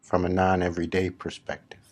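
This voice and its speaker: A man leading a guided meditation as a spiritual teacher, speaking deliberately in short phrases with long pauses between them. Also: low-pitched, monotone. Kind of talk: slow guided meditation talk.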